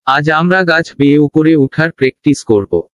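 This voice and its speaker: A man's voice: a little high, expressive, normal speed, a little hiss or hum, natural voice. Man voicing a bossy cartoon animal coach, muffled. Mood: bossy instructing. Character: boastful, bumbling male animal sports coach, secretly timid. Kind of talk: children's cartoon dialogue.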